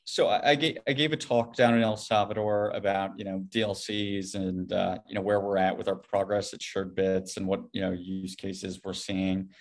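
Male podcast guest, low voice, casual and rambling delivery with plenty of hedging. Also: flat delivery, normal speed, few pauses. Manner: rambling, hedging with frequent "you know". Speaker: podcast guest, Bitcoin company CEO. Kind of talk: casual interview conversation.

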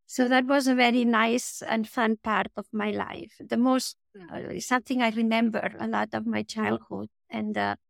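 A woman's voice: on the high side, fairly even intonation, moderate pace, creaky, hardly any pauses, dry recording. A woman from Spain, a children's author being interviewed, talking hesitantly with frequent restarts. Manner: hesitant, restarts often, light laughs. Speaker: children's book author, guest. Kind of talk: podcast interview.

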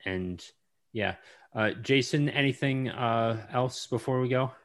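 A man's voice: mid-range voice, fairly bright tone. A man hosting a podcast interview, with a mid-range voice. He stops and starts, filling the gaps with ums and uhs. His manner is loose and casual. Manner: halting, with many ums and uhs. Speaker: podcast host.

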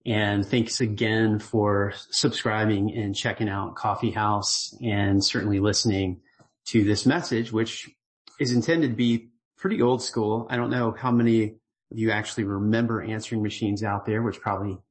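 A middle-aged man, the writer of a newsletter, gives a casual voicemail-style update, relaxed and earnest, rambling with fillers and restarts. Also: lowish voice, normal speed. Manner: grateful and earnest.

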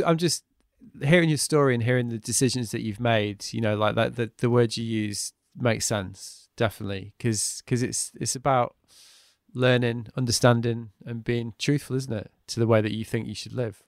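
A man hosting a podcast interview, talking in a thoughtful, halting way that often trails off. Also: medium pitch, dry recording, clean studio sound. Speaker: podcast host. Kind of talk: reflective interview chat.

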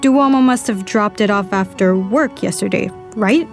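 An actress playing a pragmatic young lab scientist, brisk and informal, in an audio drama. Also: female voice, mid-range voice, soft background music, natural voice. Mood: questioning. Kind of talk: full-cast audio drama acting.

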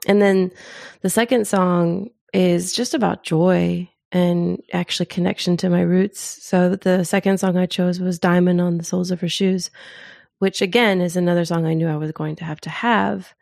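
A middle-aged woman telling personal stories in casual conversation as an interview guest, with a thoughtful, expressive and informal way of talking. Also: lowish voice, a little slow, vocal fry, dry recording, studio quality. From born in Zimbabwe.

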